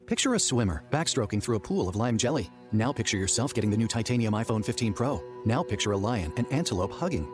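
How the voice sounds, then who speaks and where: Very fast-talking male ad announcer. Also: on the low side, big pitch swings. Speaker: commercial announcer. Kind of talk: scripted radio ad read. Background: music bed.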